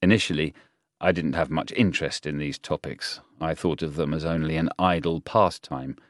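A man's voice: a bass voice, moderate pace, dry recording, studio quality. A man voicing a guarded, restrained professor in an audiobook, answering questions carefully. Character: guarded, restrained male applied-research professor.

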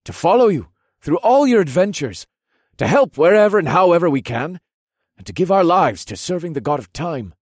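A man's voice: fairly high pitch, big pitch swings, moderate pace, no room sound, studio quality, voice pushed up. A man voicing eager, loyal young soldiers in a fantasy audiobook, with a hearty, earnest and emphatic delivery. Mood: solemn, loyal.

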